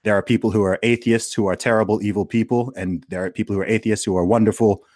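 A man's voice: on the low side, fairly even intonation, fast, a little mellow, no echo, clean studio sound. A man in casual conversation, talking earnestly with many restarts, who grew up in Saudi Arabia.

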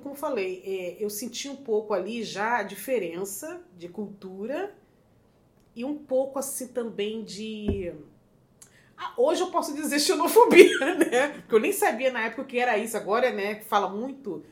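A middle-aged woman from Rio with a Carioca accent, chatting as a podcast guest, lively and quick to laugh. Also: a little high. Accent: Carioca Brazilian Portuguese. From Rio de Janeiro, Brazil. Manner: lively, animated, laughs often. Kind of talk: casual storytelling chat. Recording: roomy echo with faint noise.

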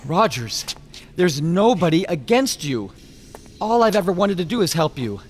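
An actor in a radio drama playing a reasonable, stubbornly brave skeptic in a measured, conciliatory manner. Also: male voice, sits high, very animated. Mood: reasoning.

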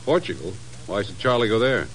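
A middle-aged man playing a sceptical, excitable intelligence chief in a 1950s radio drama, blustery and incredulous. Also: fairly low pitch, fairly quick, slight background noise, natural voice, rough recording.

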